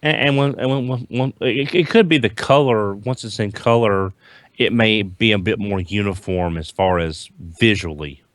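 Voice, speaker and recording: A man talking casually as a podcast panelist about toys, often restarting his sentences in a halting way. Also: on the low side, no room sound. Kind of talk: casual group chat reviewing toys.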